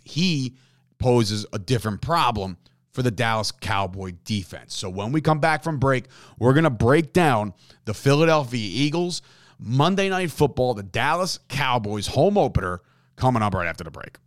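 A man hosting a sports podcast, talking in a casual, animated way and often repeating himself and asking "right?". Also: medium pitch, moderate pace, no room sound, studio quality. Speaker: podcast host.

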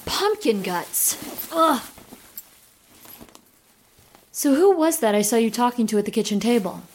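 An actress playing a young boy's exasperated, anxious mother in a horror audio drama, expressive and exclamatory. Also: a little high, a little slow, slight background noise.

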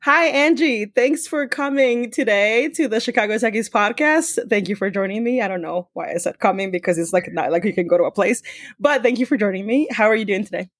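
A woman's voice: mid-range voice, brisk, no echo, clean studio sound. A woman hosting a remote podcast interview in a casual, chatty way, often restarting words and leaning on fillers. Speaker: podcast host. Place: remote call, not in a shared studio.

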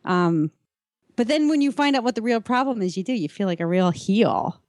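A woman's voice: mid-range voice, lively intonation, moderate pace, a little mellow, no room sound, clean studio sound. A woman hosting a podcast, chatting casually in a playful, colloquial way. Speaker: podcast host. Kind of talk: casual chat, conversational interviewing.

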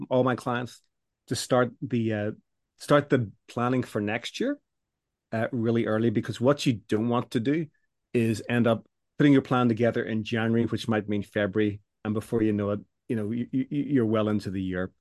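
Middle-aged male coach as podcast guest, steady mid pitch, dry close sound, measured explaining. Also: no echo. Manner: measured, explanatory, with frequent restarts. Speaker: business coach for law firms.

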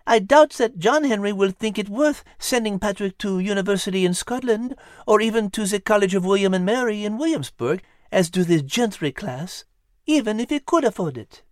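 A woman's voice: mid-range voice, expressive, moderate pace, dry recording, studio quality, close to an everyday voice. A woman voicing a refined, clever female cat with a French accent and elegant, precise manner in an audio storybook. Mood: concerned, thoughtful. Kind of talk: acted audio storybook dialogue.

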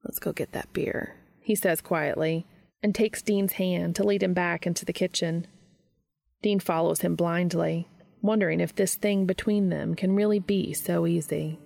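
Very creaky low-voiced woman narrator. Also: steady tone, normal speed, a little mellow. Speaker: fan fiction reader and narrator. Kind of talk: scripted story narration.

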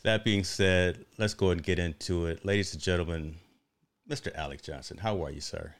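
A man's voice: sits low, normal speed. A man hosting a casual live interview show in a chatty way, often repeating phrases for emphasis.

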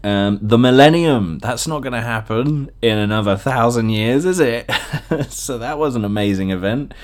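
Male podcast host, informal solo chat, mid-pitched voice, jokey and conversational. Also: moderate pace. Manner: jokey, laughs often at own remarks; acts out imagined quotes. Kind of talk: casual chatty podcast monologue.

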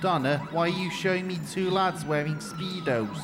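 A man playing a laid-back arcade security guard who talks in a slow, offhand way. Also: fairly high pitch, music in the background, lightly acted.

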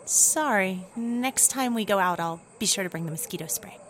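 A woman's voice: mid-range voice, animated, normal speed, a bit bright, a little hiss or hum, lightly acted. A woman playing a timid, apologetic sidekick who offers mild corrections in a comedy audio drama. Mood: meek. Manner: meek, apologetic.

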